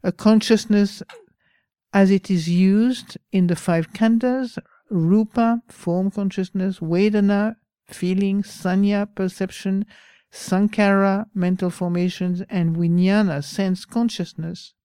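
A woman with a French accent giving a slow, pausing dharma talk as a meditation teacher. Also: medium pitch, fairly even intonation, warm tone, smooth, dry recording.

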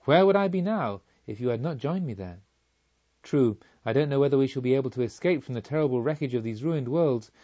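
Yearning male pilgrim, read by a man, mellow. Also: medium pitch, lively intonation, fairly quick, muffled recording, lightly acted. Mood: grateful, admiring. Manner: earnest, pleading. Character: yearning, restless young male pilgrim seeker.

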